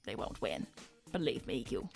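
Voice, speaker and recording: A woman voicing a smug, seductive hedgehog celebrity businesswoman in an animated roleplay stream, suave, flirtatious and coolly condescending. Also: rumbling low, moderate pace, creaky, slightly rough, soft background music, heavily acted. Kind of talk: improvised in-character livestream roleplay.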